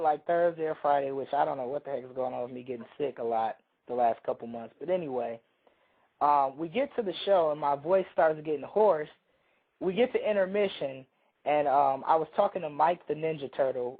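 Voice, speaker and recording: A man co-hosting a radio talk show, telling a long, rambling story while hoarse from a cold. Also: fairly high pitch, brisk, narrow, tinny audio. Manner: rambling, self-interrupting storyteller. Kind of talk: casual chat, storytelling.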